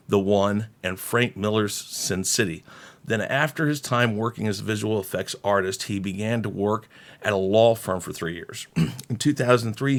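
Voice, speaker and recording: A man giving casual opinion commentary as a video host, in a rambling, informal way that often qualifies itself. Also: lowish voice.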